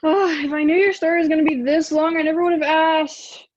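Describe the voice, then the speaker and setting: A woman's voice: sits high, fairly even intonation, a little fast, natural voice. A young woman in an amateur stage play, acting a blunt, impatient teenage runaway with a dry, unimpressed manner. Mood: impatient, sarcastic. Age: young adult. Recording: dull lo-fi sound.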